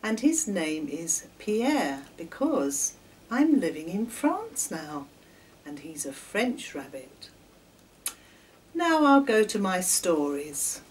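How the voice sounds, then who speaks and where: An English woman in her sixties tells children's stories in a lively, swooping voice. She takes her time and leans on words theatrically. The microphone sits some way off in a kitchen. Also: lowish voice, slow pace, a little hiss or hum. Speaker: children's storyteller. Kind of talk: expressive read-aloud storytelling for children. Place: home kitchen, indoors. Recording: distant microphone in a room.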